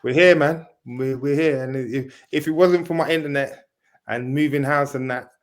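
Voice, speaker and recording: A man talking football as a guest fan in a casual debate, laid-back and resigned, low on energy. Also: steady tone, moderate pace. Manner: laid-back, resigned, says bro a lot.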